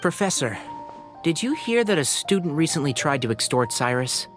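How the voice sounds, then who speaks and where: A man voicing a calm, polite young male ranger-scholar in a video game, speaking in a measured way. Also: a little high, soft background music. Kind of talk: game voice acting.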